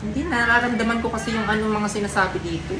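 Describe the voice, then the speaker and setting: A man's voice: high-pitched, fairly even intonation, fast talker. Young man, pointed and complaining, repetitive phrasing, echoey room, faint noise. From Philippines. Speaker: prankster in a couple's vlog. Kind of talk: casual reactive chat, needling a partner.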